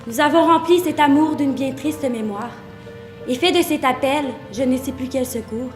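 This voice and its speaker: A woman's voice: high voice, little pitch movement, fairly quick, natural voice. A woman performing a theatrical poetry recitation as an impassioned voice in a poem about war. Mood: tender, sorrowful. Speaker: student stage performer. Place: echoey performance space. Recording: roomy live stage recording, faint music.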